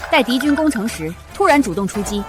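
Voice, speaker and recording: A woman's voice: fairly high pitch, sing-song, fast. An actress playing a proud, resolute woman general in a Chinese costume drama. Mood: assured. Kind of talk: costume short-drama acting. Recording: loud background music over dialogue.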